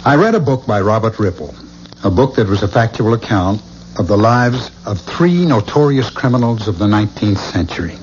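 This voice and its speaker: A man's voice: lowish voice, animated, a little mellow, natural voice. Actor as grave, haunted man, muffled old radio. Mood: brooding. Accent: American. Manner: grave, dramatic, halting delivery. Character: haunted married man fearing he is a reincarnated killer. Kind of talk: 1970s radio drama acting. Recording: muffled, noisy 1970s radio drama recording.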